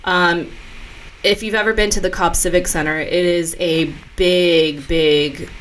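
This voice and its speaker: A woman's voice: on the low side, steady tone, a little slow, fairly bright tone, a little hiss or hum, rough recording. A woman co-hosting a casual podcast, chatty, adding details and clarifying points. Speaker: podcast co-host. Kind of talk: casual chat.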